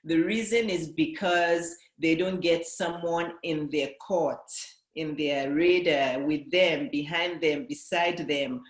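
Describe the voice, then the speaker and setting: Nigerian-british lady coach talking to camera, earnest, keeps restating stuff, bit echoey. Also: deep voice. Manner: earnest, repetitive, building points by restating. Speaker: business coach. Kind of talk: motivational talk to camera.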